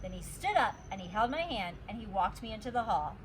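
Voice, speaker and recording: A woman reading a children's storybook aloud as a teacher, with expressive, deliberate storytelling. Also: medium pitch, a little hiss or hum, rough recording.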